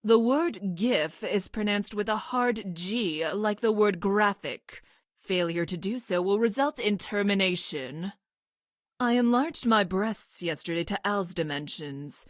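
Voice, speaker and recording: Game role, actress: female future scientist, mid-pitched, clinical and emotionless, formal precise diction, close dry booth sound. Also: no room sound, natural voice. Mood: coolly clinical. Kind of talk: scripted video game character lines.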